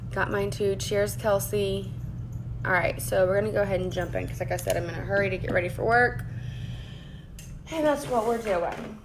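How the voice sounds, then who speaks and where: Raspy woman chatting on a livestream. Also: mid-range voice, normal speed, noisy background. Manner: chatty, greets viewers by name and rambles while working. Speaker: makeup vlogger. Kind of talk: casual live-streamed makeup tutorial. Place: home makeup vanity, indoors. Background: faint background music.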